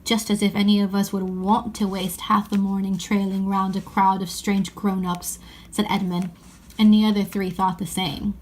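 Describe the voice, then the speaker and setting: A woman's voice: mid-range voice, little pitch movement, normal speed, rough recording. A woman narrating a children's story as an audiobook reading.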